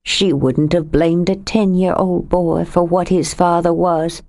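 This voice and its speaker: Narrator voicing a steady, fond, blunt woman; low voice, unhurried. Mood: earnest. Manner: calm and firm, gently blunt. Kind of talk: audiobook character reading.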